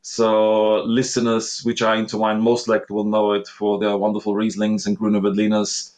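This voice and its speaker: A man in his thirties with an Austrian accent speaks as an interview guest in a somewhat low voice. He tells his story in an earnest, reflective way. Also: monotone, normal speed, a little mellow. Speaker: guest, master sommelier. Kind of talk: conversational interview.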